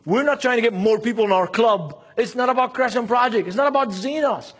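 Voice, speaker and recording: High-voiced husky man with a Lebanese accent. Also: a little fast, a bit bright. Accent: Lebanese Arabic. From Beirut, Lebanon. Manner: emphatic, acts out dialogue, repeats key phrases. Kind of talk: seminar preaching with anecdotes and rhetorical questions.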